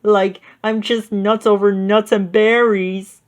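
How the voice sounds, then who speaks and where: A man's voice: high voice, level tone, slow, pitched above the performer's own voice. A man voicing a goofy, cowardly teenage slacker from a storybook, exclamatory and slangy. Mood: pleased. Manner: exclamatory, slangy, stammering when scared.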